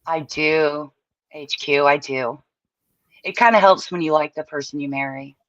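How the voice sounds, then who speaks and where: Middle-aged woman, calm and dry-humored; muffled, dead-dry remote audio. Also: deep voice, unhurried, no echo. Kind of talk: casual chat.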